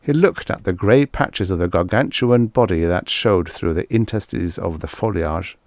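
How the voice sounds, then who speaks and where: A man's voice: low-pitched, moderate pace, darkish tone, no room sound, clean studio sound. A man reading a scripted story as an audiobook narrator.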